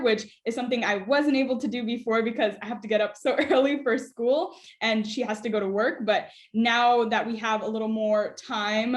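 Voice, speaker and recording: Young female student guest, higher pitch, easy and thoughtful with fillers, roomy echo. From United States. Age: young adult. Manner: thoughtful, many "uh" and "um" fillers, light laughs. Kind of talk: conversational interview.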